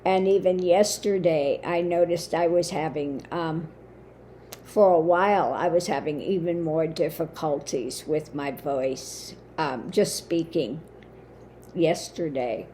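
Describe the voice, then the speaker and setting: Woman host, low and mellow, voice worn down after surgery, unhurried and halting. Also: slight background noise. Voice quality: lowered, limited voice. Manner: slow, halting, with many fillers. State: voice weakened after throat surgery. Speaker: spiritual channel host. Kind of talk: casual monologue to camera.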